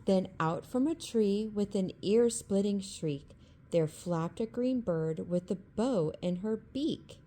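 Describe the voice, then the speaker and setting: A woman reading a rhyming bedtime story aloud in a calm, rhythmic ASMR style. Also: medium pitch, slow pace.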